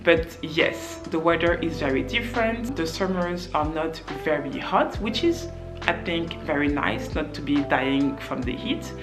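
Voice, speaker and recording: A woman's voice: sits low, expressive, measured pace. A young woman from France with a French accent, giving earnest advice in a casual vlog and leaning hard on "very". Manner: earnest, repeats "very" for stress. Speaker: vlogger. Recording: music bed under the voice.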